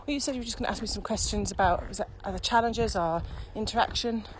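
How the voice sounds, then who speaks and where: A woman expert in virtual training explaining her work enthusiastically, speeding up when excited, with many ums. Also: medium pitch, quick pace. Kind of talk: casual explanatory chat. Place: outdoors by a road. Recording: noisy outdoor recording with traffic.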